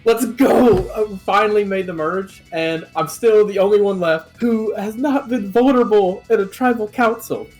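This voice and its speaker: A man's voice: high voice, normal speed, few pauses, a little mellow, faint music behind. Eager man laying out a game plan. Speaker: player in a online game contest. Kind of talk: casual confessional about game strategy.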